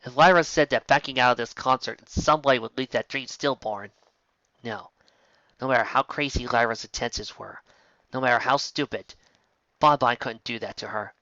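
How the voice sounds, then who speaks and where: A man narrating a fan-written story in a bright, forward voice, now and then correcting a slip. The sound is dry and a bit dull, like a home setup. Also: medium pitch, normal speed, no room sound. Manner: plain storytelling with small slips and restarts. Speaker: reader. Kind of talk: fan fiction reading.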